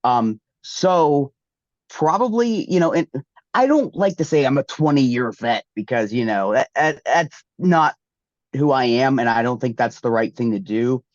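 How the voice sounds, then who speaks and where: A man's voice: medium pitch, expressive, a little slow, dry recording. A man, congested from a recent flu. He rambles through his answers with plenty of ums and restarts. The sound is dull, lo-fi and dry. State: congested, getting over the flu. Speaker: guest wrestler. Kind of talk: casual interview answers.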